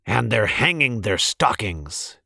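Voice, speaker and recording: A man's voice: lowish voice, sing-song, takes it slowly, vocal fry, bright, forceful tone, dry recording, clean studio sound, full character voice. A man voicing a sour, scheming old storybook creature with a snarling, sneering delivery.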